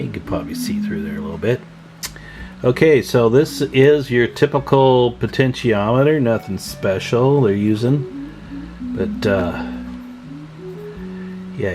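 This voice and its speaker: A man's voice: mid-range voice, animated, unhurried, long pauses, over music. A man giving a casual hands-on radio repair tutorial, thinking aloud in a folksy, informal way. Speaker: radio repair hobbyist hosting a tutorial video.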